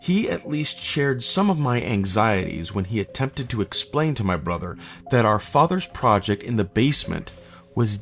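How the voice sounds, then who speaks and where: A man reading a scripted first-person horror story in a measured, somber, literary manner. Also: lowish voice.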